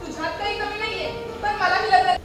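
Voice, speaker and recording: A woman's voice: very high voice, steady tone, brisk. A woman playing a frank, practical young city woman in a stage play, speaking matter-of-factly. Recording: echoey stage, distant mic, loud music.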